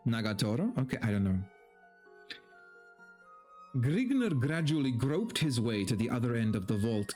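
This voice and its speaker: A man's voice: mid-range voice, a little mellow. Guy streaming a hammy dramatic reading, very up and down voice, exasperated little asides, faint music under it. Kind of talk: theatrical story narration with casual asides.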